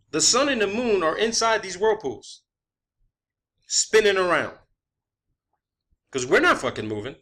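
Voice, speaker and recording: A man's voice: sits high, pushed and bright. A man presenting an unscripted online monologue, insistent and emphatic, swearing often and repeating himself to drive points home. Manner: rhetorical questions and repetition for emphasis. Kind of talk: unscripted conspiracy theory monologue.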